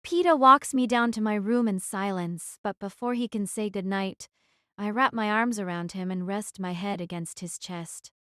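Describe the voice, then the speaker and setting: A woman's voice: mid-range voice, moderate pace, a bit bright, clean tone, no room sound, studio quality, lightly acted. A woman playing a defiant, brooding teenage girl who narrates her own story in an audio drama. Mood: somber. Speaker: narrator and lead actress.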